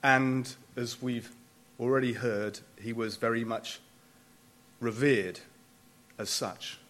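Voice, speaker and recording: A man giving a prepared memorial speech, formal and deliberate, with emphatic pauses and dry wit. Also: mid-range voice, fairly even intonation, a bit bright. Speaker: closing speaker at a memorial event.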